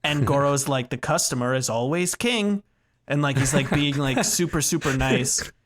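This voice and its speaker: A man with a mid-pitched voice in loose, rambling conversation as a gaming podcast co-host. He talks casually, circles around his points and keeps a joking tone. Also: moderate pace, a bit bright. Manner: rambling, filler-heavy, joking. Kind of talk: casual rambling chat about video games.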